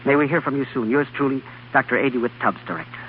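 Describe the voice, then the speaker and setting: A man's voice: mid-range voice, fast talker, a little hiss or hum, lightly acted. A man playing a cocky, eager young doctor and scientist in a 1930s radio drama, folksy and punchy. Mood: eager, excited. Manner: folksy, plain-spoken, punchy. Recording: muffled 1930s radio recording, crowd behind.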